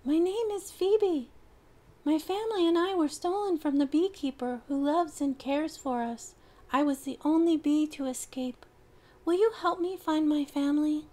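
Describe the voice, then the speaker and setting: A woman's voice: moderate pace, mellow, lightly acted. A woman voicing a small, frightened female bee who pleads for help in a storybook. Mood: pleading. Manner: high, pleading.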